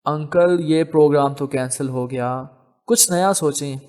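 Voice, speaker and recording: A man's voice: on the high side, measured pace, darkish tone, lightly acted. A man voicing a playful, mischievous young man who teases his elders in an audio novel. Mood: teasing. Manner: teasing, cheeky. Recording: long hall reverb on voice.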